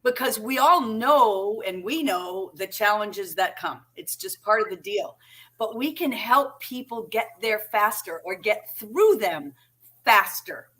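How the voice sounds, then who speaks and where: A woman's voice: mid-range voice, animated, a little slow, husky. Chatty woman with a Massachusetts accent. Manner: chatty, warm, seeks agreement with frequent "right?". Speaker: co-host. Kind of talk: casual chat.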